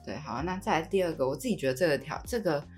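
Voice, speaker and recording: Low-voiced young Taiwanese woman chatting. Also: lively intonation, very fast, a little mellow, soft background music. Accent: Taiwanese Mandarin. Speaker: podcast co-host. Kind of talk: casual podcast chat.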